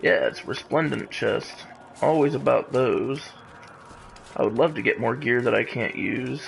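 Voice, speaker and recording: A man with a gravelly, creaky voice chats casually as a game streamer. His delivery is jokey and mock-dramatic. Game music plays clearly behind him. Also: medium pitch, a little slow. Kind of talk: casual live gaming commentary. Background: video game music.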